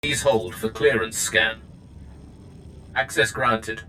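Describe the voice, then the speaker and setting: An actor playing a dutiful Imperial military officer in a game, with formal, clipped speech. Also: male voice, lowish voice, completely flat delivery, slow, bright, forceful tone, slight background noise, low-quality recording. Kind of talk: video game cutscene acting.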